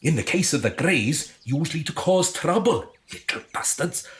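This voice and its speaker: A haughty alien passing as human, played by an actor, in a comic radio drama. The delivery is pompous and condescending, swinging widely in pitch through a middling register, and quick to exasperation. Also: lively intonation, natural voice. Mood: smug.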